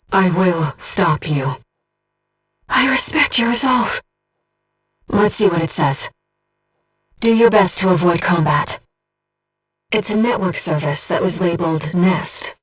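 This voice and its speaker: Breathy, calm sci-fi guide. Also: female voice, lowish voice, recorded from a distance, rough recording. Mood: solemn resolve. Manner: measured, precise, earnest. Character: calm, devoted disembodied female voice in a pilot's head. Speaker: mission support guide to a mech pilot. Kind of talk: video game voice acting, tactical briefings.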